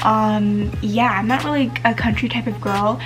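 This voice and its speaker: A woman's voice: on the high side, fairly even intonation, moderate pace, soft background music, low-quality recording. A teenage girl vlogging casually to camera, chatty and full of fillers, whose first language is Spanish. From United States. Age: teens.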